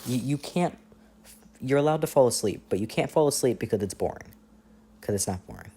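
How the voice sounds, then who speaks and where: A man playing an affectionate, playful boyfriend on a long-distance phone call, in intimate, casual ASMR roleplay with gentle teasing and hesitant pauses. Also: mid-range voice, expressive, breathy edge. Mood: tender, teasing. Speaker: audio roleplay performer.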